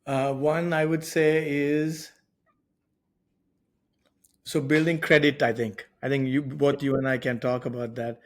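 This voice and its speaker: A man's voice: medium pitch, level tone, unhurried, long pauses. A man talking casually and reflectively on a podcast, tentative and restating his points as he goes. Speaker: podcast speaker.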